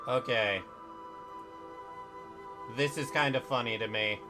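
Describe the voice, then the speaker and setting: A man's voice: mid-range voice, slow pace. A man livestreaming casual, wry, offhand reactions to a video game. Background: faint game music.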